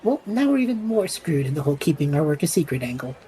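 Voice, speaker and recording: A woman's voice: sits low, big pitch swings, quick pace, warm tone, a little hiss or hum. A woman playing an impulsive, chatty male traveller with a flippant, theatrical manner in an audio drama. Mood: baffled.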